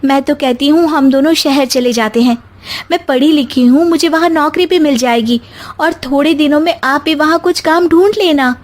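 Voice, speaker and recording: A woman's voice: sits high, normal speed, voice pushed up, close to an everyday voice. A woman voicing a spirited, educated young daughter-in-law from the city, earnest and outspoken, in a story. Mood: earnest pleading.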